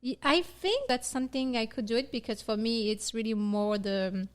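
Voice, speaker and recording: A woman's voice: on the high side, normal speed, clean tone. A woman from Morocco co-hosting a casual podcast chat, laughing often and restarting her sentences. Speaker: podcast co-host.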